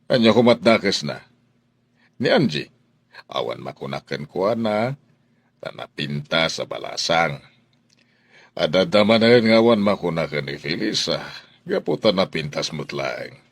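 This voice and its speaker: A man's voice: low-pitched, lively intonation, a little slow, creaky, long pauses, no room sound, full character voice. An actor playing a grave, worried father in a radio drama, reasoning his way through the lines.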